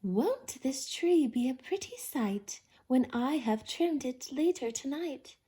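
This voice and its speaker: A woman's voice: high voice, lively intonation, takes it slowly, mellow, slightly rough, exaggerated voice. A woman voicing a dainty, fretful housemaid in a children's storybook, in a sweetly fussing manner. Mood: pleased, then worried. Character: fretful, dainty young upstairs maid. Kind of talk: children's storybook read-aloud.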